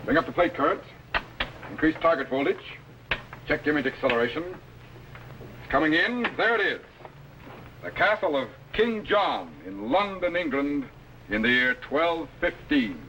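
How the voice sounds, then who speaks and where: 50s tv space captain, highish voice, clipped and bossy, noisy old soundtrack. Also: male voice, animated, unhurried, long pauses, sharp tone. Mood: instructive, assured. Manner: clipped, authoritative, precise orders. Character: commanding, learned male captain of a time laboratory. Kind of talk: 1950s TV science fiction acting. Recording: noisy 1950s film soundtrack.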